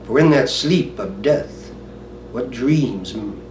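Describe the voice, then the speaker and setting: A man playing an earnest, brooding prince, reciting a Shakespearean monologue in a declamatory way with weighty pauses. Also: medium pitch, lively intonation, a little mellow, voice pushed down. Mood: brooding, subdued. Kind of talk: dramatic Shakespearean stage monologue. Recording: roomy, distant mic, faint noise.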